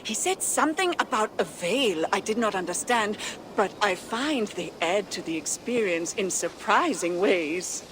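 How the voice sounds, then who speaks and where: A woman's voice: mid-range voice, animated, measured pace, background noise, close to an everyday voice. A woman voicing a confident Viking huntswoman in a video game, keen and teasing as she instructs. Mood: eager, teasing. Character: confident Viking huntswoman who runs warrior contests. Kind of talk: video game cutscene acting.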